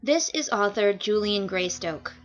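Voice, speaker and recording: A woman's voice: mid-range voice, expressive, a little slow. A woman speaking as author and reader, giving a polite, orderly scripted outro to listeners. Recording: dull lo-fi home recording with music behind. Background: music.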